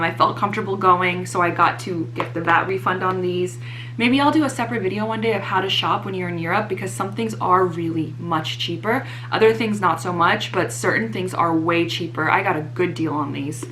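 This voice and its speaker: A young woman vlogger, slightly hoarse, chatting casually and teasing herself about her purchases. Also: medium pitch, sharp tone, a little hiss or hum. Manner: chatty, self-mocking, many fillers. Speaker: fashion vlogger. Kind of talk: casual haul chat to camera.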